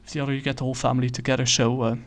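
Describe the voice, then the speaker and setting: A man casually reviewing a comic book, talking in a halting way with many fillers and restarts. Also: mid-range voice, level tone.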